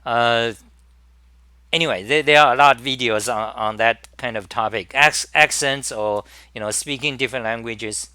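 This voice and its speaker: A man with a Chinese accent hosting a live-stream talk, speaking in halting bursts with many restarts and repeated words. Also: medium pitch, sharp tone, smooth, dry recording. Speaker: host.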